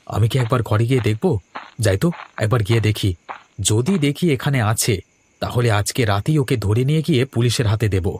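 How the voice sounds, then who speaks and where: A man playing a worried, indignant village man in an animated horror story, exclaiming anxiously. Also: mid-range voice, fairly quick, slight background noise, natural voice. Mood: suspicious.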